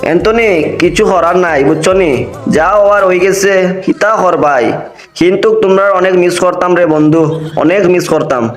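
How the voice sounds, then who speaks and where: A man voicing an easygoing adult male cartoon footballer, speaking Bengali with a Sylheti accent in a folksy, drawn-out way. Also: high-pitched, reverberant, faint music behind, natural voice. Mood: consoling. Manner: folksy, drawn-out dialect speech. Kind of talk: cartoon voice acting.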